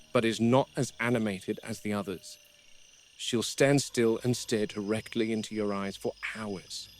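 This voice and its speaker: Male actor as a grave, watchful game warden for horror found-footage log; measured, deliberate delivery, mid-pitched, faint noisy VHS-style sound. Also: close to an everyday voice. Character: grim, wary male game warden logging predator behavior.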